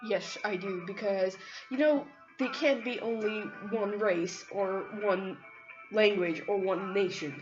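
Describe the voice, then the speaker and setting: A woman's voice: medium pitch, slow. A woman from Pakistan, an Urdu speaker, answers interview questions in an informal, conversational way with frequent filler phrases. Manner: informal, conversational, peppered with "like" and "you know". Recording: dull lo-fi audio, music behind.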